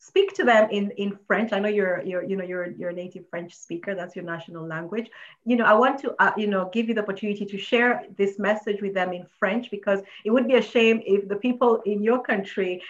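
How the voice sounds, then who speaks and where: A woman's voice: medium pitch, normal speed, highs cut off. A woman hosting an interview, talking emphatically with many fillers and repeated phrases as she urges listeners to help. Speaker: host. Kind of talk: interview host making an appeal.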